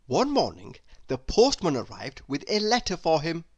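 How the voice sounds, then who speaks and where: A woman narrates a children's story in a low voice. Her pitch swings very widely, and she stretches repeated words for deliberate emphasis. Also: wildly swooping pitch. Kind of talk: expressive children's read-aloud narration.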